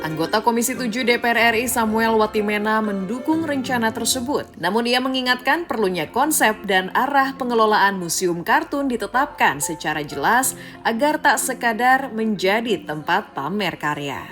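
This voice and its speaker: A woman's voice: a little high, expressive, moderate pace. Woman narrating a news report over music. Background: background music.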